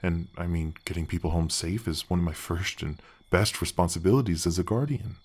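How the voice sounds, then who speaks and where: A big, helpful male gargoyle, acted by a man with a very deep voice, lowered by an effect. He talks softly and earnestly, as in a fantasy ASMR roleplay, with courteous, slightly hesitant phrasing that makes him a kindly, bashful guardian. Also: brisk, slightly rough. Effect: deep-voice pitch effect. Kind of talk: ASMR fantasy roleplay, soft conversational acting.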